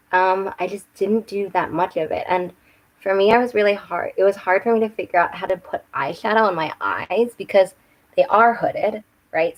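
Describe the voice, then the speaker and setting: A young woman with a slightly hoarse voice, chatting casually and rambling a little on a home live stream while doing her makeup. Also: fairly low pitch, poor audio. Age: young adult. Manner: rambling, chatty, with restarts and self-corrections. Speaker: book and makeup channel host. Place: home room with bookshelves. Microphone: webcam.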